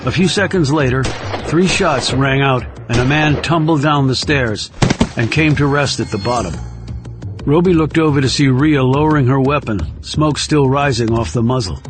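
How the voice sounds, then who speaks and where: A man's voice: medium pitch, normal speed. Male thriller audiobook narrator over music. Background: music under the reading.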